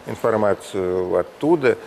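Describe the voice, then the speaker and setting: A man's voice: on the low side, animated, a little slow, vocal fry, darkish tone, a little hiss or hum. A man hosting an interview, asking questions in a chatty way and groping for words with fillers. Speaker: interviewer, host.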